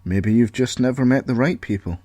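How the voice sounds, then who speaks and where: A man's voice: mid-range voice, brisk, darkish tone, no room sound, natural voice. Guy being a kindly naive lab computer in an audio story, sorry and reassuring, dry sound. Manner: apologetic, reassuring. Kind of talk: audio story character acting.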